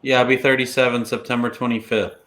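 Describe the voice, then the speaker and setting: A man's voice: mid-range voice, level tone, quick pace, a bit bright. A man in his thirties on a video call, talking sports in blunt, joking banter. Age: 30s. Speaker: podcast co-host. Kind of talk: casual sports podcast chat.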